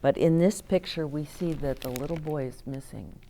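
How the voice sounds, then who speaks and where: A woman reading picture books aloud to children as a storytime host, in a deliberate, explaining way. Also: low-pitched, mellow, slight background noise, low-quality recording. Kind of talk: expressive read-aloud for children with asides to listeners.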